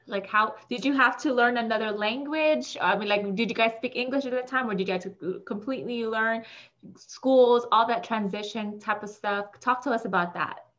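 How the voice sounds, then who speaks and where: A woman's voice: a little high, normal speed, fairly bright tone, dull audio. A woman hosting a podcast, opening the show and asking her guest friendly, encouraging questions. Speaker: podcast host. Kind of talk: podcast intro and interview.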